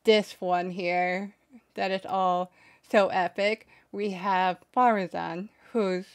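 A woman in her twenties hosting a casual reaction video, with halting, hesitant phrasing, close on a desk microphone. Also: mid-range voice, fairly even intonation. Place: small bedroom streaming setup.

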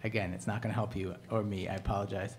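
A man's voice: on the low side, flat delivery, quick pace, a little hiss or hum. A man book editor on a conference panel, speaking into a handheld microphone with a wry, self-deprecating tone.

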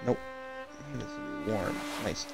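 A man casually commenting while he plays a game, in a calm, fairly monotone voice that drifts into rambling asides. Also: on the low side, slow pace, gravelly, over music. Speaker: gameplay streamer.

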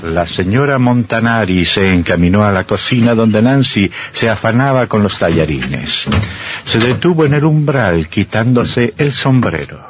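A man narrating an old radio horror drama in a theatrical, measured, husky storytelling voice. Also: fairly low pitch, lively intonation, a little hiss or hum.

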